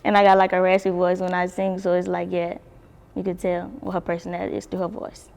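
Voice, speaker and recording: Young woman, low gravelly voice, relaxed and profane interview talk. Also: fast, big-room sound, slight background noise. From Baton Rouge, Louisiana. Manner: laid-back, swears freely, laughs often. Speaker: singer, interview guest. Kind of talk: casual interview chat.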